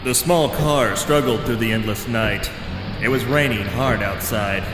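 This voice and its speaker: An actor playing an exasperated hard-boiled pulp hero, narrating a noir parody in a world-weary, melodramatic way. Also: male voice, medium pitch, unhurried, close to an everyday voice. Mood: weary, irritated. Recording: echoing reverberant voice over music.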